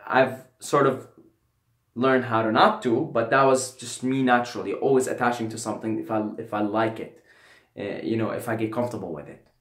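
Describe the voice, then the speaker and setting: A man's voice: mid-range voice, fairly even intonation, fairly quick, fairly bright tone, roomy sound. A young man from Syria telling a casual personal story, rambling and often adding "right?" and "you know". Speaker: vlogger.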